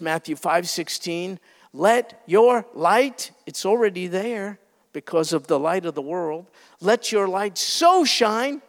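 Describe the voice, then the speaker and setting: A man's voice: sits high, big-room sound. A male pastor preaching a sermon, earnest and exhorting, building emphasis as he urges his listeners.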